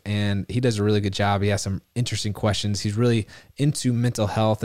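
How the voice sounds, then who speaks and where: Low-voiced male podcast host. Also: darkish tone, no room sound. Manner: friendly, measured questions with some restarts. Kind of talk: interview podcast.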